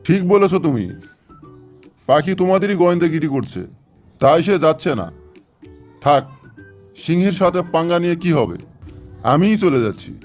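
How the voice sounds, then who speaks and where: A man's voice: fairly high pitch, lively intonation, normal speed, pauses often, a little mellow, close to an everyday voice. A man voicing a male animal character in a cartoon jungle fable. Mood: resigned. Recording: music under the voice.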